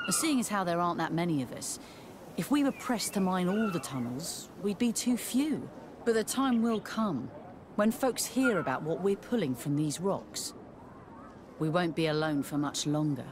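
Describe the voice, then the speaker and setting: Game role: busy, practical female miner woman acting, matter-of-fact and animated, over clear background noise. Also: lowish voice, moderate pace, close to an everyday voice. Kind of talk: video game character dialogue.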